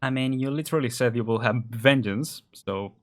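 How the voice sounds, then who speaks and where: A woman's voice: very deep voice, moderate pace. A young woman doing casual live game commentary, with wry, sarcastic asides over game music. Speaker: game streamer.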